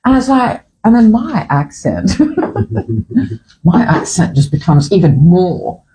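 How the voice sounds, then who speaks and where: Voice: medium pitch, sing-song, slow, mellow, a little hiss or hum, rough recording. A host in their fifties from Fiji, speaking with a Fijian accent in a casual, opinionated morning chat, full of restarts and the odd swear word. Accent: Fijian English. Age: 50s. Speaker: podcast host.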